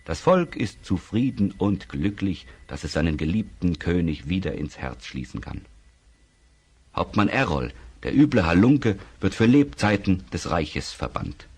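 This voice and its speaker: A man's voice: deep voice, big pitch swings, a little slow, a little mellow, husky, heavily acted. A man playing the solemn narrator of a children's fantasy radio play, reading scripted dramatic narration. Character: solemn storyteller of a fantasy tale.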